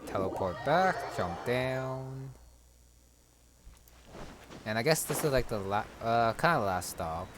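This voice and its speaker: A man's voice: mid-range voice, expressive, takes it slowly, long pauses. A man giving casual, offhand gameplay commentary in short remarks. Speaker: gamer, commentator. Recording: loud game music behind voice. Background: game music.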